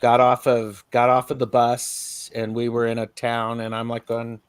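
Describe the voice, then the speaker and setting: A middle-aged man in easy conversation, spinning anecdotes and slipping into quick acted voices. His voice sits at an ordinary pitch, and the sound is dry and close, with no room around it. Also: completely flat delivery, clean tone, dry recording. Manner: chatty, storytelling with acted asides. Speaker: co-host, tarot reader. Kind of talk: casual chat.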